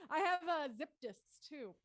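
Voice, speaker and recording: A woman hosting a live show in an upbeat, casual style. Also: high voice. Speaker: host of a live audio drama show.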